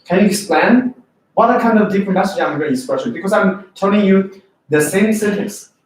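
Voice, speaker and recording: Man, Chinese accent, lecturing, fairly high voice, echoey room, from far off. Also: moderate pace. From China. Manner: lively, asks students questions often. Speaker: English test tutor. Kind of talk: classroom lecture. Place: classroom.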